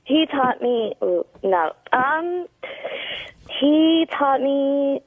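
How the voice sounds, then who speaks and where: A flustered woman calls in, giggling and defending herself. Her voice sits high and leaps about. The line is tinny and dry. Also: expressive, extremely slow, no echo. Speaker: caller. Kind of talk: call-in radio banter.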